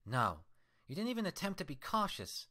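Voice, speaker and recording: A man's voice: a little high, wildly swooping pitch, moderate pace, bright, forceful tone, voice pushed up, full character voice. A man voicing a furious young female unicorn scholar who scolds indignantly. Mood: angry scolding.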